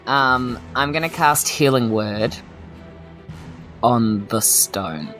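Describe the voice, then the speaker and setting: A woman's voice: rumbling low, takes it slowly, fairly bright tone, faint music behind. A woman with a New Zealand accent, playing in a tabletop game, chatty and playful with rules questions. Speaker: player. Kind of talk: tabletop game play chat.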